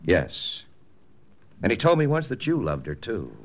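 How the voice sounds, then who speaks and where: An actor playing a confident American army intelligence lieutenant in a 1940s radio drama, brisk, persuasive and matter-of-fact. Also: male voice, on the low side, huge pitch swings, exaggerated voice. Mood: persuasive, firm. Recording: old radio broadcast with music.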